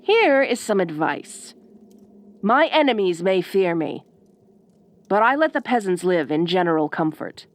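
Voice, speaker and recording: Ice queen for audio roleplay, female performer: bossy, proud, secretly fond; unhurried, widely swooping delivery, imperious and condescending. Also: medium pitch, sing-song. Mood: cold, instructive. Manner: imperious, formal, drawn-out condescending phrasing. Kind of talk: audio roleplay acting addressed to the listener.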